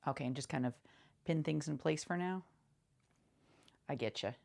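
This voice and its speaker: A woman speaking softly and casually in an ASMR roleplay as a seamstress, halting and often repeating small reassurances in a murmur. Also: sits low, gravelly. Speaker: ASMR creator playing a seamstress. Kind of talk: soft-spoken ASMR roleplay.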